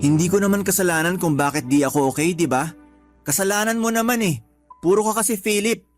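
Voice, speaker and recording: Lalaking gumaganap na seloso at mainiting nobyo. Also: male voice, high voice, brisk, soft background music, natural voice. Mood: irritated, sulky. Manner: accusing, defensive. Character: jealous, insecure, easily offended young boyfriend. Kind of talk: Filipino radio love drama acting.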